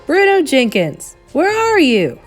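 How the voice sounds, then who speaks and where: A woman's voice: high voice, huge pitch swings, unhurried, soft background music, voice pushed up, full character voice. A woman voicing an earnest, sensible young boy turned mouse, matter-of-fact and reassuring, in a storybook reading. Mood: calling out.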